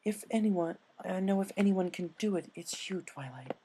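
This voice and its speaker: A man's voice: sits high, lively intonation, moderate pace, dark tone, slightly rough, pitched above the performer's own voice, exaggerated voice, poor audio. A man voicing a refined, eager female unicorn pony with a polite, earnest manner in a story reading.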